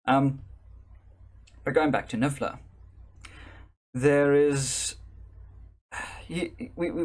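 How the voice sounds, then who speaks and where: A man from South Africa doing casual live-stream commentary into a desk microphone, rambling and correcting himself, with wry asides. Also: mid-range voice.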